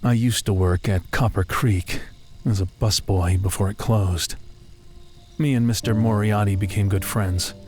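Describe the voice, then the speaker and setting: Male actor as guarded young American drifter type: low register, very slow delivery, wry and slangy, audio drama. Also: fairly even intonation, darkish tone, close to an everyday voice. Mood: amused. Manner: slangy, laconic, sighing. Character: guarded, self-deprecating young working-class man, wry and bruised. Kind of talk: naturalistic audio drama dialogue. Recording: music playing behind the voice.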